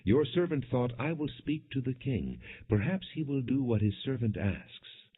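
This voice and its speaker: A man narrating scripture as an audiobook, reading in a measured, formal storytelling manner. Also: mid-range voice, sing-song, breathy edge. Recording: muffled lo-fi, phone-like band.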